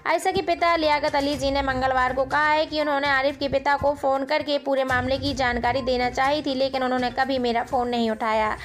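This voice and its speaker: A woman with a high-pitched voice presents the news for an online channel. She narrates in an informal way, speaking straight to her viewers. Soft music plays behind her. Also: fairly even intonation, a little fast, few pauses.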